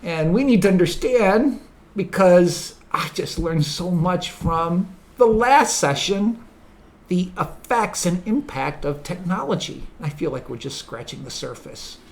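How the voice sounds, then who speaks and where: A woman's voice: lowish voice, expressive, unhurried, a little mellow. A woman hosting a conversational interview, introducing her guest and asking questions with short encouraging replies. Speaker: host.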